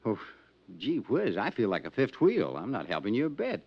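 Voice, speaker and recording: Anxious insurance man, expressive, lowish voice. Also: normal speed, full character voice. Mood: worried. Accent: American. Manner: earnest, fretful. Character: anxious, friendly male insurance company man. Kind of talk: 1950s radio drama acting. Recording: muffled 1950s radio recording.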